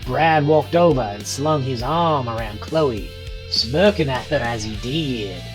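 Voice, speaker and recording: A man's voice: medium pitch, unhurried, soft background music. A man giving dramatic scripted narration in a horror audio drama.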